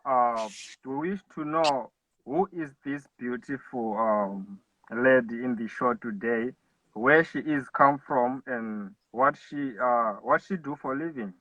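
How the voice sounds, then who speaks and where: A man hosting an interview, asking questions haltingly as he searches for words. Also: mid-range voice, fairly bright tone, no room sound. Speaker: podcast host. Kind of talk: casual interview. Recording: tinny phone line, distant and dry.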